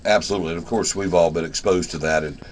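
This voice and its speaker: A man's voice: low-pitched, fairly even intonation, fast, a little hiss or hum. A middle-aged or older man, hosting a casual radio interview in a folksy, rambling way. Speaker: radio show host.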